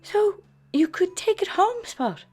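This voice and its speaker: A woman's voice: very high-pitched, sing-song, slow pace, dark tone, voice pushed up, heavily acted. A woman voicing a playful, boisterous young male animal friend in a children's storybook. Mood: casual. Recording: music behind the voice.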